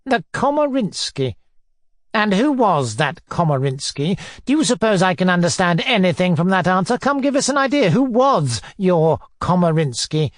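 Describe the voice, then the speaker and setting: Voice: medium pitch, very animated, moderate pace, no room sound, studio quality, voice pushed up, lightly acted. A narrator voicing a pompous, bullying middle-aged man who hectors and sneers, in an audiobook. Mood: hectoring, imperious. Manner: pompous, majestic nonchalance, hectoring questions. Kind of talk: audiobook character dialogue.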